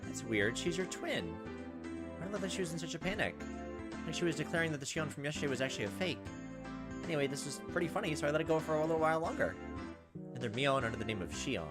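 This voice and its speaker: Male gameplay host, brisk, casual read-aloud over game music. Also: a little high, expressive. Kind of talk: visual novel read-aloud with casual commentary. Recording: loud game music behind.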